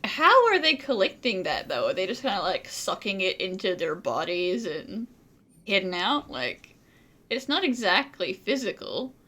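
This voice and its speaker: Bright, lively woman gamer. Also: mid-range voice, a little slow. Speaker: gaming video creator. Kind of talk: casual game playthrough commentary.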